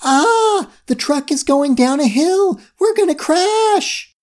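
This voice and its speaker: A frightened female animal in a children's adventure. Her voice leaps very high and wild. She is frantic and screamy. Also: lively intonation, slow, a little mellow. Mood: panicked. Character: panicky female animal, frightened young truck passenger.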